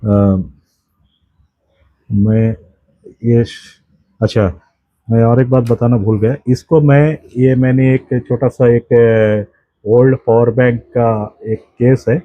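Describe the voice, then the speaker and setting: A man with an Indian accent giving an unscripted product demonstration, explaining in a halting way and often repeating or correcting himself. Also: medium pitch, steady tone, no room sound, narrow, tinny audio. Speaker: presenter of a radio hobby channel.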